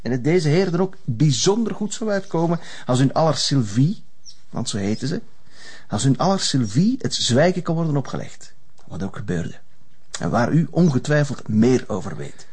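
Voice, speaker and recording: Husky, menacing Flemish avenger. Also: male voice, mid-range voice, sing-song, moderate pace, a little mellow. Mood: bitter, accusing. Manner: mocking, taunting, theatrical. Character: menacing, sardonic male avenger holding a gunman's upper hand. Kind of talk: Flemish television crime drama acting.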